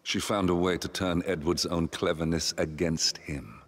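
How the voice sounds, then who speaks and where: An actor playing a calm male comrade in a video game, a hallucinated voice, steady and urging. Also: sits low, normal speed. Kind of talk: video game cutscene acting. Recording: long hall reverb.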